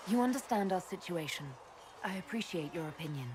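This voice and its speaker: An actress playing a resolute elven heroine in a fantasy game, speaking plainly and with firm composure. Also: female voice, lowish voice, expressive, fairly quick, noisy background, lightly acted. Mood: determined. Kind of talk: video game dialogue acting.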